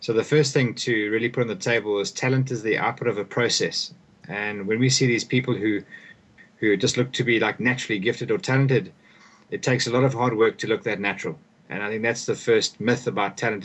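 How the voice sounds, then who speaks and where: A man's voice: lowish voice, steady tone, a little fast, a bit bright, husky. Thoughtful man explaining in an interview. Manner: thoughtful, repeats words while restarting sentences. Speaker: guest author and performance coach. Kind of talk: conversational interview answers.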